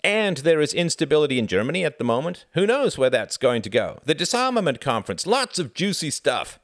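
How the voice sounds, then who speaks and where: Man acting a high, booming, jovial young diplomat. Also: sing-song, a little fast, dry recording, studio quality. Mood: breezy, enthusiastic. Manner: hearty, exclamatory, persuasive. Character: jovial, booming, teasing young British diplomat with big hands.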